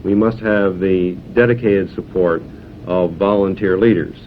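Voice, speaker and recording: A man's voice: sits low, fairly even intonation, unhurried. A man giving a formal prepared statement as a university official, on 1970s film sound. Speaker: university development director. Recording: thin phone-like 1970s film sound, faint noise.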